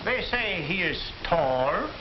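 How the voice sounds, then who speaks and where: An actor playing a dignified, defiant professor giving a measured, formal lecture in a 1940s film style. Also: male voice, high-pitched, big pitch swings, exaggerated voice. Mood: wry, questioning. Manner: measured, deliberate, formal rhetorical delivery. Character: dignified, defiant male professor lecturing against Nazi race ideas. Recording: dull, hissy, echoey vintage film soundtrack.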